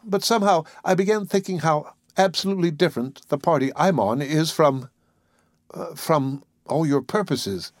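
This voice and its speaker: A man voicing a lively, privileged young society woman with a wistful, hesitant, girlish manner in a story. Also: fairly high pitch, normal speed, no echo, clean studio sound, pitched above the performer's own voice, close to an everyday voice. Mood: hesitant, reflective.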